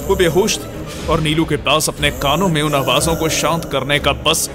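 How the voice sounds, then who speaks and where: A man's voice: on the high side, moderate pace. A man narrating a scripted horror story dramatically. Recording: music bed behind.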